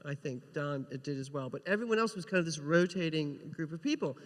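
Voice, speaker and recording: A man's voice: a little high, expressive, fast. A man chatting casually on a panel as a TV writer, often rephrasing mid-sentence. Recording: reverberant hall.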